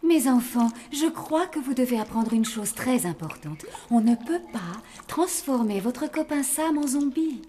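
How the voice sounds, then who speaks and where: Cheerful zombie hotel mother, high. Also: expressive, unhurried, hardly any pauses, warm tone, a little hiss or hum. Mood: motherly. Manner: bubbly, motherly.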